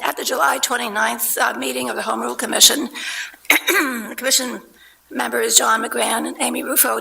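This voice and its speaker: A woman with a very gravelly, high, bright voice reads a prepared statement while losing her voice. She is polite and slightly halting, with faint background noise. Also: poor audio. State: losing her voice, clearing her throat. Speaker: resident giving public comment. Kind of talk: reading a submitted statement aloud.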